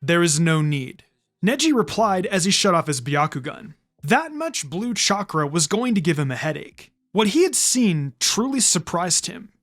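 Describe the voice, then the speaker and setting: Animated male story narrator. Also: high voice, normal speed. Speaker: fan fiction reader.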